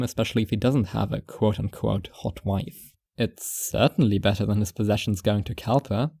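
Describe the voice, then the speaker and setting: A man playing a reclusive, paranoid surveillance watcher who narrates his own story in a wry, deliberate, musing way. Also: lowish voice, gravelly, dark tone, no room sound, clean studio sound. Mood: uneasy musing. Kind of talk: first-person fiction narration.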